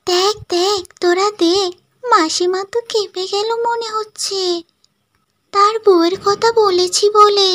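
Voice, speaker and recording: A woman as a very squeaky gossiping village housewife. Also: a bit bright, no room sound, clean studio sound. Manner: taunting, exaggerated. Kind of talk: cartoon dialogue.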